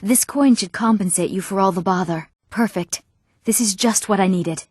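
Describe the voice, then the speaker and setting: A woman's voice: mid-range voice, moderate pace, darkish tone, breathy edge, no room sound, clean studio sound, natural voice. An actress playing an eager, earnest young townswoman in a fantasy video game, speaking in an articulate, well-spoken way. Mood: pleased, grateful. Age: young adult.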